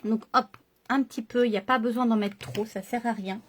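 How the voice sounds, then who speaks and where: A woman's voice: a little high, fairly even intonation, brisk, slight background noise. A woman chatting through a makeup tutorial and explaining each step to her viewers. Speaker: makeup vlogger.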